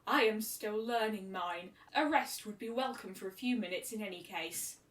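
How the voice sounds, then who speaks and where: A woman voicing an ancient, gentle pony princess in a fan fiction story, speaking formally and measuredly. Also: mid-range voice, moderate pace, lightly acted. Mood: gracious. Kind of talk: amateur fan fiction reading. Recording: roomy echo, distant microphone.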